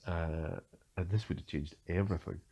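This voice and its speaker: A man's voice: low-pitched, normal speed, vocal fry, mellow, lo-fi sound. A man giving unscripted film commentary as a podcast host, hesitant, with many fillers and restarts. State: has a cold.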